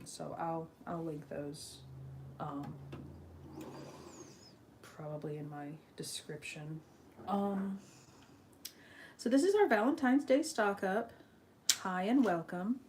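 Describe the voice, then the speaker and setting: A woman's voice: fairly low pitch, a little mellow, a little hiss or hum. A woman chatting casually on a live stream as she sets up, rambling with frequent ums, a little sniffly from allergies.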